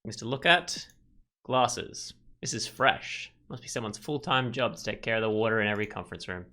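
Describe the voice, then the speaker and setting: A man's voice: lowish voice, lively intonation, a little fast, pushed and bright. A young man hosting a casual let's play, reading game text aloud and musing informally over it. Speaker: gaming video host.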